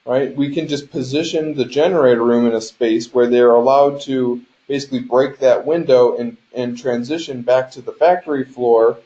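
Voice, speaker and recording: Male instructor, mid-pitched and mellow, explanatory delivery with frequent check-ins, muffled conference-call sound. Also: fairly even intonation, normal speed, few pauses. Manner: explanatory, often checks in with "right?". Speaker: game design instructor. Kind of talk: instructional lecture over a shared screen. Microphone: conference call microphone. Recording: dull, lo-fi conference call audio.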